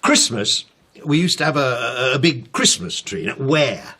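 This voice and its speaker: Flustered, husky elderly father. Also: mid-range voice, big pitch swings, sharp tone, exaggerated voice. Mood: probing. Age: older. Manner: halting, stammering, insistent. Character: flustered but firm elderly father, questioning gently. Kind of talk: naturalistic radio drama acting.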